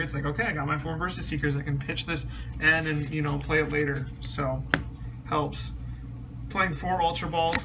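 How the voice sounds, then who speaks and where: A man's voice: fairly high pitch, noisy background. A man casually explaining a trading card deck, rambling with many ums and restarts, his voice a bit strained. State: slightly losing his voice, clears throat. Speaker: card game deck reviewer.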